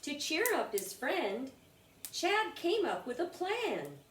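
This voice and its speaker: A woman reading a rhyming children's picture book aloud in an expressive, deliberate way for young listeners. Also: sits high. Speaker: storybook reader. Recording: roomy, distant mic with background noise.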